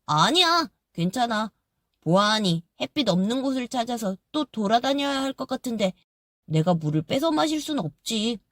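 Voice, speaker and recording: A woman voicing a kind, gentle tree who talks calmly and with some wonder. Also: on the high side, normal speed, dry recording, clean studio sound, voice pushed up.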